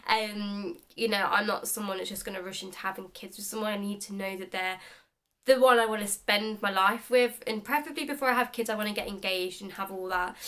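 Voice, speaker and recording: A woman's voice: mid-range voice, a little fast, bright, forceful tone. A woman in her twenties chatting casually in a vlog, upbeat and full of "like" fillers. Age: 20s. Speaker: beauty vlogger.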